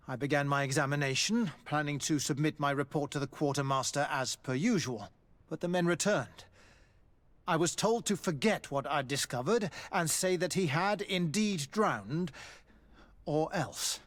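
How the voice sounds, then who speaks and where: An actor playing an evasive, formal army doctor in a video game, hesitant and deferential. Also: male voice, fairly high pitch, normal speed, a bit bright, natural voice. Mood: anxious, confessing. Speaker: military doctor. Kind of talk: dramatic video game acting.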